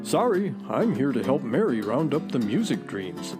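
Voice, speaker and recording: An actor playing a proud, deadpan, slightly huffy talking space horse in a children's radio play. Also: medium pitch, normal speed, music in the background, natural voice.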